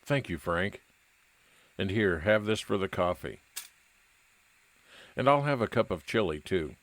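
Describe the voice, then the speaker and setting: A man's voice: deep voice, expressive, a little slow. A man playing a wry, world-weary private investigator in an old-time radio noir detective drama. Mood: reflective, wry.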